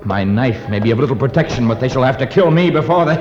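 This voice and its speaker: An actor playing a noble, grave, brave jungle hero in a 1950s radio drama, speaking in a measured, formal way. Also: male voice, medium pitch, lively intonation, music in the background, close to an everyday voice. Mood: alarmed. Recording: muffled, noisy 1950s radio recording, reverberant.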